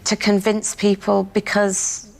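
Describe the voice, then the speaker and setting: A woman's voice: mid-range voice, completely flat delivery, slow pace, vocal fry, rough recording. A woman politician giving an informal, dryly playful interview. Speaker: politician, party chief whip.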